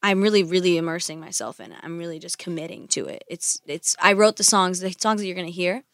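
A woman's voice: lowish voice, fairly even intonation, fast, a bit bright, dry recording. A young woman singer whose first language is Spanish, chatting in a studio interview with lots of "like" fillers and frequent laughs. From United States. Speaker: singer guest. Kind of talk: casual interview chat. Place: radio studio. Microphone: studio mic.

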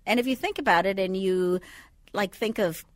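A woman from the Bahamas, a sleep physician, calmly explaining things step by step as a guest in a radio studio. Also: mid-range voice, normal speed, no room sound. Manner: calm, patient, explains step by step. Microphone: studio microphone.

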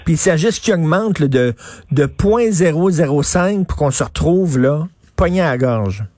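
A man with a Québécois accent hosting a radio talk show, talking in a conversational, halting way. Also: a little high, animated, darkish tone, no room sound, studio quality. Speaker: radio host.

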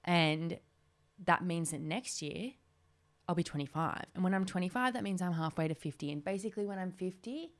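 A woman's voice: on the low side, normal speed. A woman in her twenties hosting a solo podcast, talking in a chatty, rambling way with lots of "like". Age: 20s. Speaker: podcast host.

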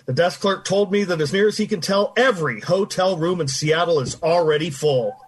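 A man's voice: high voice, a little fast, breathy edge. An actor playing a good-natured, easily flustered man in an old-time radio drama, repeating himself in a folksy way. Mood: earnest, eager. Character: good-natured, easily flustered male 1950s store coworker.

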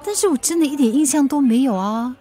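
An actress with a Singaporean accent playing a flustered, defensive, forgetful mother in a naturalistic radio drama, with expressive colloquial protests. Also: sits high, quick pace. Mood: uneasy.

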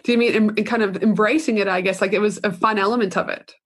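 A woman's voice: mid-range voice, steady tone. A woman hosting a casual podcast chat, supportive and agreeing often. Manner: supportive, quick affirmations.

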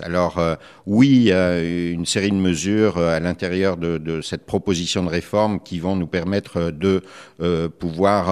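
A middle-aged man with a low voice and an accent from France. He answers like a politician in an interview, choosing his phrases carefully and pausing often as he searches for the next one. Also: darkish tone, clear, resonant tone. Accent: French from France. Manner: measured, hesitant, careful phrasing. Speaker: politician, member of the Quebec legislature.

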